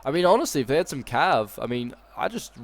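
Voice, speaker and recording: A man's voice: mid-range voice, big pitch swings, fast. Stuffy-nosed man commentating a strategy game. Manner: chatty and wry, with frequent ums and self-corrections. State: stuffy with a cold. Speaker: game channel host commenting a battle replay.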